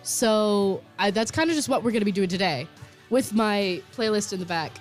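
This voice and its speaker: Hoarse, giggly young woman on college radio. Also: mid-range voice, moderate pace, soft background music. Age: young adult. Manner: giggly, slangy, chatty. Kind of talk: casual chat.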